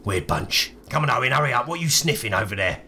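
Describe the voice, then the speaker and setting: A man's voice: mid-range voice, animated, fast talker, sharp tone, a little hiss or hum. A British man bantering casually in character as a player in a tabletop role-playing game.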